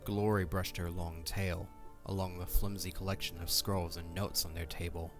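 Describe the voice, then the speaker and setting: Deep guy narrating an audio drama, scripted, faint music underneath. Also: flat delivery, moderate pace.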